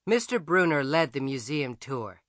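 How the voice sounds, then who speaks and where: Male narrator, boyish first-person storytelling, wry, expressive, animated intonation, dry studio sound. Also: on the high side, normal speed, no room sound. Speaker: audiobook narrator.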